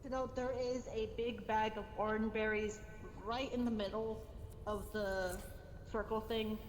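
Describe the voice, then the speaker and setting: A woman improvising as a guild member in an online roleplay, with a gentle, pleading manner. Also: mid-range voice, fairly even intonation, a little slow. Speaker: player acting a guild member. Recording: noisy, reverberant online voice chat.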